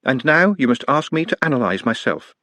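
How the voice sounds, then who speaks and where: A man doing a quiet, formal orc. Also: medium pitch, animated, a little fast, no echo, voice pushed up. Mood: patient. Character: gentle, soft-spoken orc under self-hypnosis, polite.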